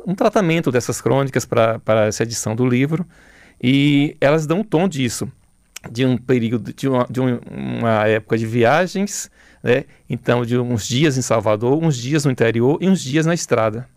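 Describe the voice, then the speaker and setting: A middle-aged man with a Bahian Brazilian accent, talking reflectively as an interview guest, haltingly, repeating words as he searches for phrasing. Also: mid-range voice, a little mellow, no room sound, clean studio sound.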